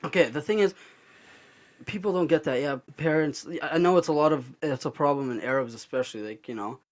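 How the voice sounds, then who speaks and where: A young man with a slightly high voice makes his case in a casual podcast chat. He is animated and opinionated, pressing his points and laughing easily. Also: fairly quick. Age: young adult. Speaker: podcast co-host.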